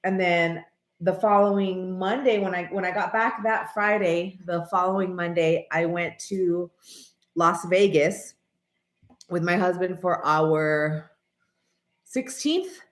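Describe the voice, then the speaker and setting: A woman in her late thirties talks to her viewers in a loose, rambling vlog chat, her voice stuffy and nasal from congestion. She clears her throat often, and her voice sits a little low for a woman. The sound has an echoey, roomy ring. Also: takes it slowly. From American. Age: 30s. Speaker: channel host.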